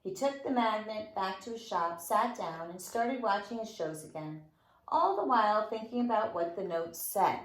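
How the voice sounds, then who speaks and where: A woman's voice: medium pitch, animated, normal speed, slight background noise. A woman reading a storybook aloud to young children as a teacher, expressively and with dramatic emphasis. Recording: roomy, echoing room sound.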